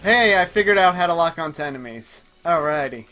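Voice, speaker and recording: Guy with a highish voice doing casual gameplay commentary, reacts out loud, game music behind him. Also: expressive, fairly quick. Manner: exclaims at the game while playing. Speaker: player in a gaming show. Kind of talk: casual let's play commentary.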